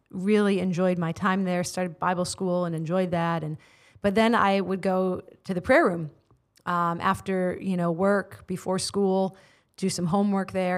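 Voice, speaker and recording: An American woman in her forties, a guest on a podcast, telling her faith story earnestly with many filler words. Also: mid-range voice. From United States. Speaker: guest, prayer ministry leader. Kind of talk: personal testimony in interview. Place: studio.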